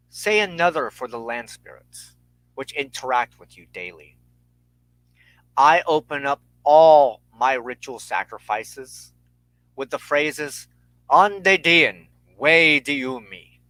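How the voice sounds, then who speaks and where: A man hosting a spiritual talk in a solemn, sermon-like style, speaking in measured, formal and ceremonious phrases. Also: fairly high pitch, animated, bright, forceful tone, dry recording, low-quality recording. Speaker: host of a weekly spiritual talk show.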